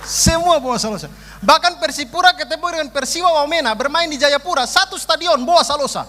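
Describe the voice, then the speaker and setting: A man's voice: very high-pitched, animated, fast talker, crowd noise. A man with an eastern Indonesian accent performing stand-up comedy, repeating phrases for emphasis. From East Nusa Tenggara, eastern Indonesia. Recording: reverberant hall, faint noise.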